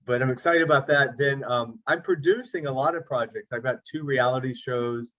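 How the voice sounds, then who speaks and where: Man, middle-aged, interview guest, chatty, joking, mid-pitched voice, dull lo-fi sound. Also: moderate pace, a bit bright. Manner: easygoing, chatty, self-deprecating jokes. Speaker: actor and producer. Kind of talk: interview guest over video call. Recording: slightly dull lo-fi audio.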